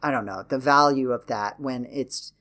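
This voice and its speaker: A man speaks as a casual podcast host at a middling pitch. He rambles a little and has a wry way of clarifying his own points. The talk is conversational and unscripted. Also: moderate pace, pushed and bright. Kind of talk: casual video game chat.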